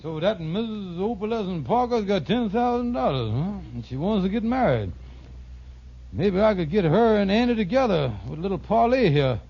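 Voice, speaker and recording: An actor playing a scheming middle-aged hustler in an old radio sitcom, with wheedling sales patter and grandiose misused words. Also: male voice, high-pitched, expressive, normal speed. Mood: conniving. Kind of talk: 1940s-50s radio sitcom acting. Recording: noisy, muffled vintage radio recording.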